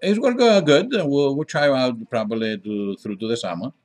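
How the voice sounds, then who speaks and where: A middle-aged man from Greece with a Greek accent answers interview questions conversationally, in halting non-native English with frequent pauses. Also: mid-range voice. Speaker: interview guest, diner owner.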